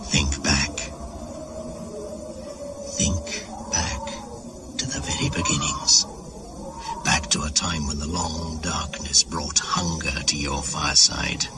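A man's voice: a bass voice, level tone, slow pace, long pauses. A man playing an ancient, menacing shaman spirit in a radio drama, speaking in an incantatory, ominous manner. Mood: reproachful, ominous. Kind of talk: 1980s radio drama monologue. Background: music.

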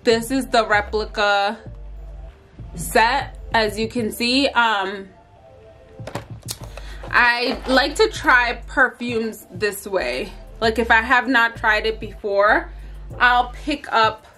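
A woman's voice: on the high side, takes it slowly, bright, forceful tone, soft background music. A woman in her thirties chatting casually and tiredly to camera in a bedroom vlog, with lots of ums and likes. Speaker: vlogger. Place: bedroom at home.

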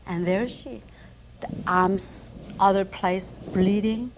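Korean-accented lady, mid-pitched, broken english, acts out her story, tv studio lapel mic. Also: steady tone, slow pace, noisy background. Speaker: guest. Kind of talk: casual chat. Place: TV studio set decked with flowers.

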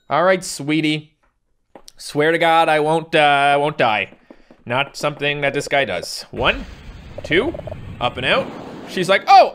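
A young man from Newfoundland hosting a casual let's-play, excitable, yelping and joking at the game. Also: on the high side. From Newfoundland, Canada. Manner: excitable, yelps and jokes at the game. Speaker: gaming channel host. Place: home recording room.

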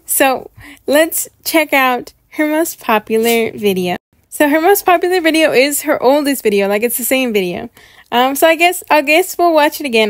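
Casting: female reaction host, high voice, excitable and gushing, chatty delivery, dry close sound. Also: dry recording. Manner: gushing, excitable, repeats words for emphasis. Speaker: host of a reaction video series. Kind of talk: casual chatty reaction commentary.

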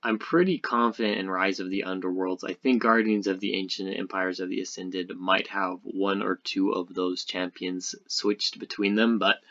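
A man giving casual, unscripted gaming commentary as a video host, speaking haltingly as he thinks aloud. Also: low-pitched, fairly even intonation, fairly bright tone, highs cut off.

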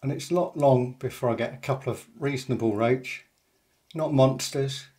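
An older man calmly narrating a day's fishing in a voice-over, matter-of-fact and unhurried, with frequent pauses. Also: medium pitch. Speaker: angler vlogger.

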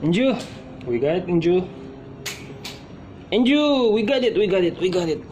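A man's voice: sits high, expressive, normal speed, a little mellow, highs cut off. A man vlogging casually, muttering to himself in short bursts and exclamations as he does a task. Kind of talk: casual self-narration during a task. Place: outdoors on a tiled home balcony. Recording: clear ambient outdoor noise, passing vehicles.

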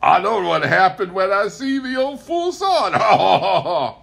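Gleeful young rustic, acted by a male narrator, raised pitch, loud mocking delivery, comic storybook. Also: very animated, normal speed, vocal fry, full character voice.

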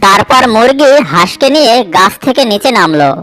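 Mid-pitched woman narrating a children's story. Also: expressive, brisk, a bit bright, soft background music, rough recording.

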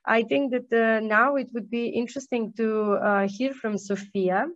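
A woman moderating an online panel, handing the floor to each speaker in turn. Her voice sits at a middle pitch and she speaks haltingly with frequent fillers. The call audio is dry and dull. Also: fairly even intonation, no room sound.

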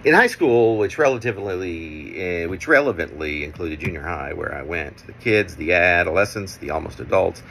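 A middle-aged man reading his own poem aloud in halting, measured pieces, with casual asides. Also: deep voice, expressive, creaky, a bit bright, a little hiss or hum. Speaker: poet reading own work. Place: small room at home, indoors. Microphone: phone at arm's length.

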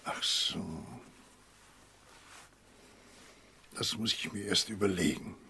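An actor playing a grave, kindly elderly family doctor in a radio play, speaking in a measured, husky voice. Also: male voice, sits low, bright, forceful tone, slight background noise, exaggerated voice. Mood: grave, hesitant. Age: older. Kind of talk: German radio play acting.

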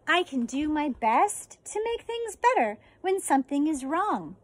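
An American woman in her forties, a teacher reading a children's picture book aloud outdoors with deliberate, expressive phrasing. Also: high-pitched. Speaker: elementary school teacher reading a story. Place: outdoors on a residential deck.

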